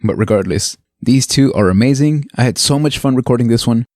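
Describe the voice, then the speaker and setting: A man's voice: medium pitch, fairly quick, noisy background. A man hosting a podcast interview in a laid-back, chatty way. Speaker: podcast host.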